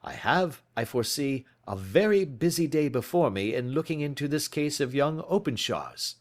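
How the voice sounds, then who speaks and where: A man voicing a keen, proud, intense gentleman detective in an audiobook, making emphatic, deliberate declarations. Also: medium pitch, lively intonation, voice pushed up, natural voice. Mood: brisk, purposeful. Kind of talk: audiobook character voice.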